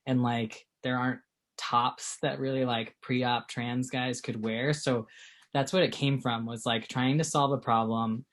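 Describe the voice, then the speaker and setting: A man's voice: mid-range voice, level tone, measured pace, fairly bright tone. A man in his late twenties from Texas, co-owner of a small clothing company, answering interview questions in a chatty, filler-heavy way. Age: 20s. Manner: chatty, lots of fillers, laughs easily.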